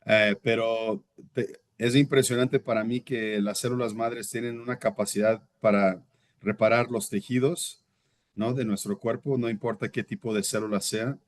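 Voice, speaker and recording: A man's voice: mid-range voice, fairly even intonation, normal speed. A Brazilian-born man in his thirties, a native Portuguese speaker, giving a reflective, earnest personal testimony as an interview guest and sometimes searching for words.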